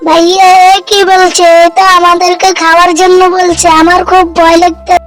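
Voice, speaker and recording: A woman's voice: very high-pitched, level tone, normal speed, a bit bright, soft background music. A woman playing a curious, timid little girl in a children's fairy-tale cartoon, with a coaxing, whiny manner. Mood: frightened.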